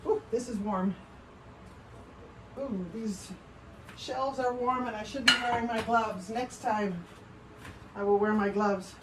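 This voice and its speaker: A Canadian woman with a fairly high voice hosts an informal craft livestream. She talks in a chatty, meandering way, often dropping a sentence halfway and picking up again. There is noticeable noise behind her voice. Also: takes it slowly, pauses often. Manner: chatty and rambling, often breaking off mid-sentence. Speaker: potter hosting a livestream. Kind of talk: casual live show-and-tell of pottery.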